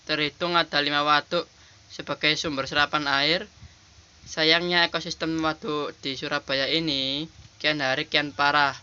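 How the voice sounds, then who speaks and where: A young man giving a halting, polite class presentation over slides, his voice hoarse from a cold, recorded while minding a small shop. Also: fairly high pitch, little pitch movement, sharp tone. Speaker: student presenter. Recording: lo-fi home recording.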